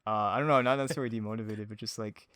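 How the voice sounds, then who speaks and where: Smooth-voiced man chatting as a podcast guest. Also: fairly low pitch, steady tone, rapid-fire, a bit bright, no room sound. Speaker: podcast guest, audio drama creator. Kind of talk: casual chat.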